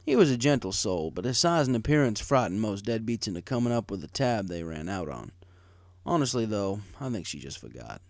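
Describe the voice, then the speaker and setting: A man's voice: fairly low pitch, sing-song, brisk, dry recording. A man reading a first-person story aloud in a wry, conversational way. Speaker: story reader. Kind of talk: first-person scripted fan fiction narration.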